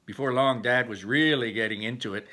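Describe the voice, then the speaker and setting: A man reading a storybook aloud in an animated manner, stepping out for chatty explanations to his listeners. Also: mid-range voice, brisk.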